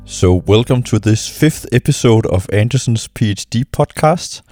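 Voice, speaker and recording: A middle-aged man, hosting a casual solo podcast from his couch at home. His voice is lowered and husky after a weekend of singing, and he talks haltingly, with many restarts. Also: animated, darkish tone, faint music behind. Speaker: podcast host.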